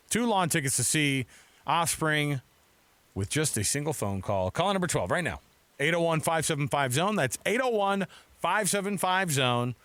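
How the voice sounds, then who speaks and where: A man's voice: medium pitch, fairly bright tone, smooth, no echo. A middle-aged American man hosting sports radio in a casual, chatty, rambling way from a studio mic. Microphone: close studio microphone.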